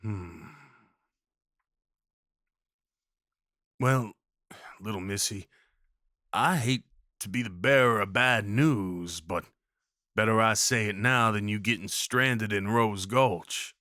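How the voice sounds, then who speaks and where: A man's voice: on the low side, slow, sharp tone, no echo, studio quality. A man playing a rugged, kindly cowboy of the Old West in a roleplay audio drama, with a folksy, reassuring drawl. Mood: hesitant, somber.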